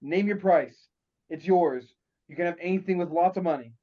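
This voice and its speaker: A man's voice: high voice, normal speed, close to an everyday voice. A man acting a foul-mouthed, sentimental schemer in a comedy table read, over a dull online connection. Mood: alarmed, pleading.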